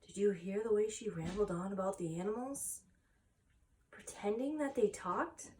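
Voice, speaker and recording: A woman's voice: medium pitch, moderate pace, darkish tone, a little hiss or hum, lightly acted. A woman voicing a worried, proper, questioning farm mother in a storybook read aloud, a little far from the mic. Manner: concerned, questioning.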